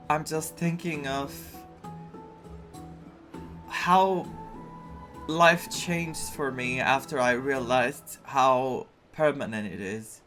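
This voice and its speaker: A game streamer talks casually over a playthrough in a middle-pitched voice. The delivery is very unhurried, halting and reflective, candid in tone, with faint guitar music underneath. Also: fairly bright tone. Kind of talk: casual, personal playthrough commentary.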